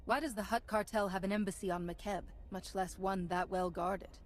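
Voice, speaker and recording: A female actor plays a resolute Jedi master in a video game. Her mid-pitched delivery is measured, formal and composed. Faint music plays underneath. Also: steady tone, close to an everyday voice. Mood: composed, inquiring.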